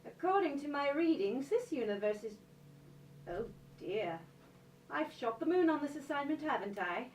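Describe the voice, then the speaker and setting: Off-mic in a live room comes a grand, theatrical professor of the multiverse. The voice is high and swings widely. The manner is pompous and eccentric, made for a radio play. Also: female voice, big pitch swings, moderate pace. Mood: haughty. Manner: pompous, dramatic. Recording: roomy, distant mic with faint noise.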